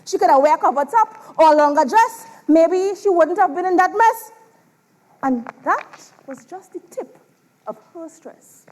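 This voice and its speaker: Woman, Trinidadian accent, very high voice, sweeping pitch, dramatic spoken word, emphatic delivery. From Trinidad and Tobago. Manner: theatrical, emphatic, deliberate pauses. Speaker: spoken word poetry contestant. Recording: live stage performance.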